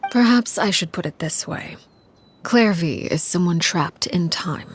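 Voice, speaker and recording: An actress voicing a cold, composed woman who rules a household of children, speaking in a measured, formal, quietly menacing way. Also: on the low side, animated, a little hiss or hum.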